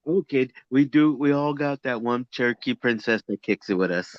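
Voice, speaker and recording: A man co-hosting a casual online chat, talking in halting bursts that repeat words. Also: mid-range voice, lively intonation, no room sound, highs cut off. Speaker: co-host.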